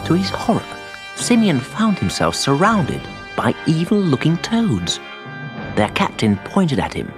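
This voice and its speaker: A man narrating a children's audiobook in an expressive, dramatic storytelling manner. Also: on the high side, unhurried, over music.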